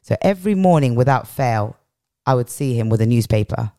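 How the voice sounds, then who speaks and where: A woman's voice: extremely low pitch, expressive, brisk, mellow, no room sound, clean studio sound. A middle-aged British woman with a strong voice, telling personal stories as a podcast guest and repeating phrases for weight. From England. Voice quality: strong, powerful voice. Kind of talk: reflective personal storytelling in an interview.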